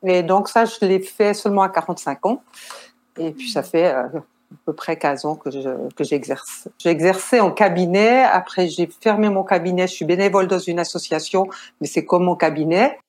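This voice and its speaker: A woman's voice: lowish voice, normal speed, a little mellow. A woman in her sixties with a Swiss French accent, a nurse and counselor answering interview questions in a hesitant way, with many fillers and restarts. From Switzerland. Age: 60s. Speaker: guest nurse and marriage counselor.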